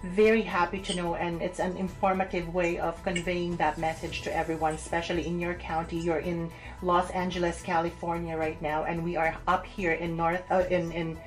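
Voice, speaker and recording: A woman's voice: on the low side, level tone, normal speed, few pauses, faint music behind. A woman news anchor reading and interviewing in a formal broadcast style.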